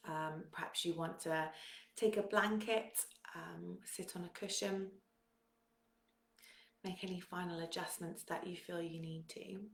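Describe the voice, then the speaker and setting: A woman leading a guided meditation in a calm, soothing manner, giving gentle instructions with long pauses. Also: on the low side, vocal fry, reverberant, slight background noise.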